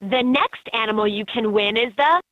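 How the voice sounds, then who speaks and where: A woman's voice: on the high side, normal speed, dry recording, close to an everyday voice. A woman voicing an upbeat guide in a children's educational animal game, in scripted narration with slightly dull game audio.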